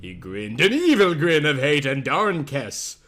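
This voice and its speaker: A man's voice: a little high, sing-song, a little slow, a bit bright. A man narrating a story in a melodramatic, amateur dramatic reading style.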